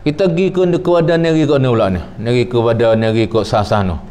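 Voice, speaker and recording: A man teaches a traditional religious text at an unhurried pace. He repeats his phrases, recites short Arabic lines and explains them in the local dialect. His voice sits at an ordinary middle pitch. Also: a little mellow, smooth. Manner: explains slowly, repeats phrases, quotes Arabic then glosses it. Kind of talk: traditional kitab lesson.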